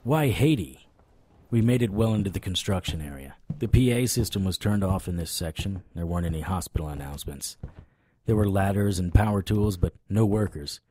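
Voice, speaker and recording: A man playing a wry, world-weary supernatural private investigator, narrating in a deadpan noir audio drama style. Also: low voice, brisk. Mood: tense, deadpan.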